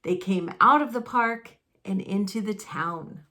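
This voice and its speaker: A woman reading a children's storybook aloud expressively and deliberately, with dramatic pauses. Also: mid-range voice, pushed and bright. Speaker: storybook reader.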